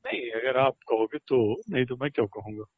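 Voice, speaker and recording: A man's voice: medium pitch, animated, moderate pace, no room sound. A man chatting casually and privately on a recorded phone call, often in short questions and replies.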